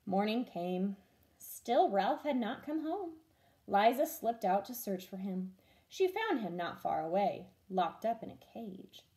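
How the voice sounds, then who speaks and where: A woman teacher reading a children's storybook aloud in an expressive storytelling style. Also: mid-range voice, unhurried.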